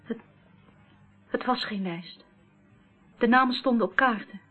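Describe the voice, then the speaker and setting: An actress playing a nervous, evasive secretary in a radio play, hesitant and flustered. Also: female voice, on the high side, unhurried, breathy edge, faint music behind. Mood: anxious, pleading. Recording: muffled narrowband old radio play recording.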